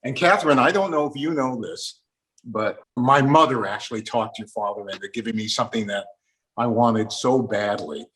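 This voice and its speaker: A man's voice: mid-range voice, normal speed, fairly bright tone, rough recording. An older man hosting a casual interview, chatting conversationally with his guests. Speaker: interview host.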